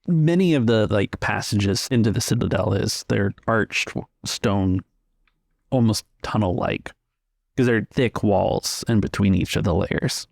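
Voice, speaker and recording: A male game master describes scenes and rulings off the cuff. His voice sits fairly low with noticeable fry, and the recording is dry. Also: unhurried, a little mellow, no room sound. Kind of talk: improvised tabletop game narration.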